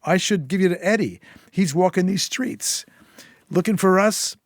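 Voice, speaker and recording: A man's voice: sits high, moderate pace, no room sound, clean studio sound, pitched above the performer's own voice, lightly acted. A man voicing a volatile, menacing woman gangster in a story reading, taunting and sweary, with heavy emphasis. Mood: taunting, furious.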